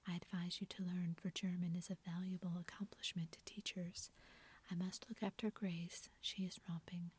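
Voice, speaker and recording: A woman's voice: fairly low pitch, level tone, normal speed, slightly rough. Woman whispering as a patronizing English lady. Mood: condescending. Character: haughty English young lady, condescending. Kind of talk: ASMR whispered storybook reading.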